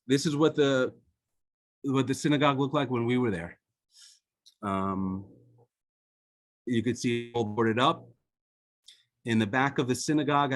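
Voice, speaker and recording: A man, an author, showing photographs on a video call and talking in a loose, conversational way with frequent fillers and restarts. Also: mid-range voice. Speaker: author and guest speaker.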